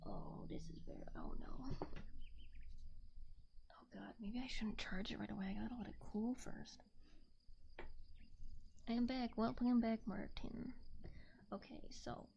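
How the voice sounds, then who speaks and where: Gentle, creaky-voiced woman chatting on stream. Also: fairly high pitch, fairly even intonation, unhurried, pauses often, highs cut off. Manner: gentle, soft-spoken, rambling, often slipping toward a whisper. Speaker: livestreamer, host of an art stream. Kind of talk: casual livestream chat.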